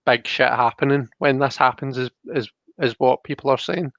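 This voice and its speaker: A man's voice: mid-range voice, steady tone, normal speed, vocal fry, a bit bright, no echo. Casual, rambling man, slightly dull recording. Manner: rambling, thinking aloud. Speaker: host of a live card reading stream. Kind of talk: casual chat, reading out viewer comments.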